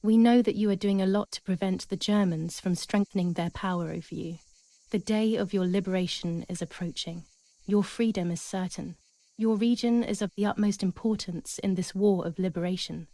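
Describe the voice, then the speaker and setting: Solemn, mellow wartime announcer played by an actress. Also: female voice, mid-range voice, no room sound, natural voice, poor audio. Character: wartime radio announcer, resolute woman urging resistance. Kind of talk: 1940s propaganda broadcast, scripted.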